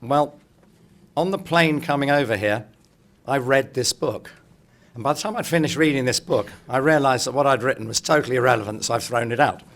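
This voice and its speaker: A middle-aged man with a croaky, hoarse voice opening a conference in a hotel meeting room, chatty and joking with the audience. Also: medium pitch, fairly quick, fairly bright tone. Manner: chatty, jokey, addresses the room directly. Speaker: speaker opening a political congress.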